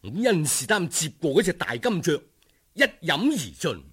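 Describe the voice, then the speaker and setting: A man with a Cantonese accent narrating a folk tale as a dramatic, expressive storyteller who savours each description. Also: on the high side, moderate pace, breathy edge.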